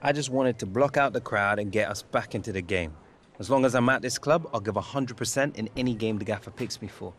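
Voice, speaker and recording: Earnest young footballer, played by an actor, low and plain. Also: male voice, fairly quick, slight background noise, close to an everyday voice. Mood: steady. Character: young male footballer facing a transfer, earnest. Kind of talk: video game cutscene dialogue.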